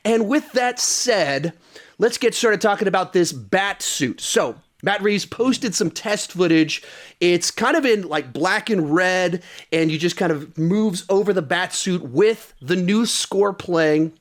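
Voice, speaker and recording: High-pitched man hosting a podcast. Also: expressive, slow. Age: adult with young child. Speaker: podcast host.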